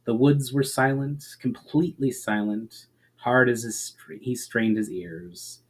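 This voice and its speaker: A man reading fantasy fiction aloud as a dramatic narrator, deliberate, with emphatic phrase openings that fall away at the ends. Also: medium pitch. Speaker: author reading own story aloud.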